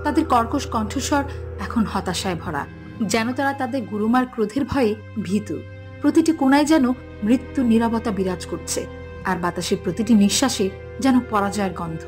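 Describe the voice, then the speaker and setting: A woman's voice: a little high, normal speed, over music. A woman narrating a scripted fairy tale for a cartoon.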